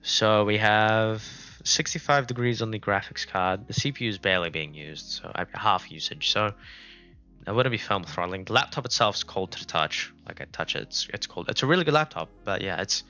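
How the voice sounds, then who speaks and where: A South African man whose first language is Afrikaans, with a lowish but bright, forward voice, rambles and thinks out loud in a relaxed, unscripted way. The audio is a little dull and lo-fi, with faint music running under the speech. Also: fairly quick. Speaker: game streamer. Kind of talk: casual live-stream chat. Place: indoors at a laptop. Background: music playing.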